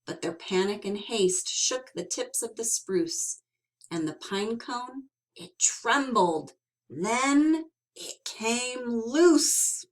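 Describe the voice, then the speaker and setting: A woman reading a children's picture book aloud as an expressive storyteller, with dramatic emphasis and drawn-out words. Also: a little high, rough recording.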